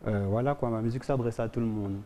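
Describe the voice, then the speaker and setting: A man, a music artist, answering questions in a relaxed, hesitant way. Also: medium pitch, fast, slight background noise. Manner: relaxed, hesitant, searches for words. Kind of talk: casual interview answers.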